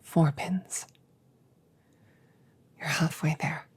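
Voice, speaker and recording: Whispering, gentle female android, acted by a woman. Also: low-pitched, fairly even intonation, heavily acted. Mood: measured, clinical. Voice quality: breathy whisper. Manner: measured, formal, precise phrasing. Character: gentle, stoic female android being repaired, formal and reassuring. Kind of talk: whispered ASMR audio roleplay.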